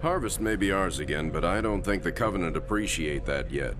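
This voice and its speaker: An actor playing a grave, weary middle-aged starship captain in a video game, speaking with measured authority. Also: male voice, sits low, expressive. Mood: commanding. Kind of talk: cinematic game acting, solemn narration and orders. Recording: loud cinematic music behind the voice.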